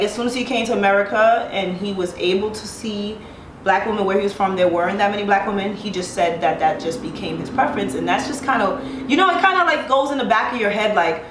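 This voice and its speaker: A chatty woman in her thirties from Brooklyn tells personal stories, with "like" in almost every line and laughter along the way. Her voice sits at a middle pitch. The sound is roomy and a little distant, with faint background noise. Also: brisk, a bit bright. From Brooklyn, of Haitian family. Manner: chatty, fills her talk with "like", laughs as she talks. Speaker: panel guest. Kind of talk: casual chat, candid storytelling.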